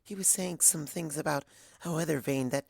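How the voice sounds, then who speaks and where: A woman voicing a timid, sweet young cartoon pony, soft and hesitant, with an apologetic manner. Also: sits low, normal speed, gravelly, slightly rough, no room sound, studio quality, voice pushed up, heavily acted.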